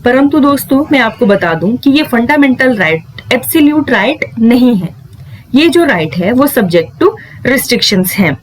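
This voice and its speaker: A woman's voice: fairly high pitch, lively intonation, a little slow, low-quality recording. A woman explaining legal news to viewers in a didactic way, mixing English terms into her speech. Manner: didactic, poses questions and then answers them. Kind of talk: explanatory lesson addressed to viewers.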